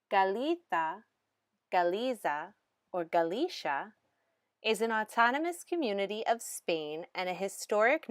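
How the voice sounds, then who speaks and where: A woman giving a measured, explanatory lecture narration as a language instructor. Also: medium pitch, no echo, clean studio sound.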